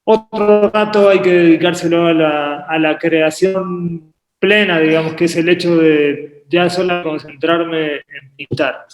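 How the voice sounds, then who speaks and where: A man from Uruguay raised in Argentina, talking about his work as a painter on a video call, often pausing and repeating words as he thinks. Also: a little high, fairly even intonation, soft background music. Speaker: painter and artist guest. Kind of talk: relaxed interview conversation. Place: home painting studio. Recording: echoey room on a video call.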